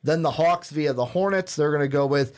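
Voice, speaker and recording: Male podcast co-host, casual list reader with a slightly higher voice who fills pauses with ums. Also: darkish tone. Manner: plain list reading with filler ums. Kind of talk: casual podcast chat, reading out draft picks.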